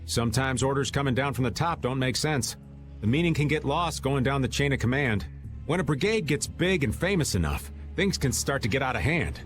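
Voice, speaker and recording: Game role: playful older male veteran, acted by a man, mid pitch with big swings, faint music behind. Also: animated, fairly quick, lightly acted. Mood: matter-of-fact. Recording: music under the voice.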